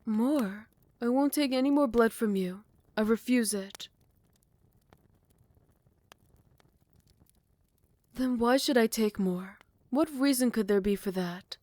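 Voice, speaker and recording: A woman playing a possessive yet tender royal vampire in love, speaking in formal, old-fashioned phrasing with breathy half-whispers in an intimate ASMR roleplay. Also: on the high side, normal speed, dark tone, dry recording, close to an everyday voice. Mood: resisting, firm.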